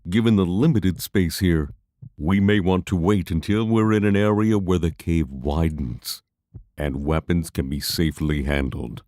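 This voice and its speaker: A man playing a cautious, thoughtful young fantasy adventurer in an audio drama, with a serious, measured way of speaking. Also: deep voice, dark tone, dry recording, clean studio sound.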